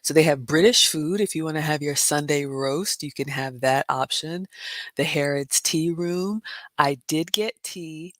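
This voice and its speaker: Raspy, smoky-voiced woman streamer. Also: a bit bright, no room sound. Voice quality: low voice. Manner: chatty, says "y'all" and "you all" often. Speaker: livestream host. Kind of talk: casual livestream chat reading comments.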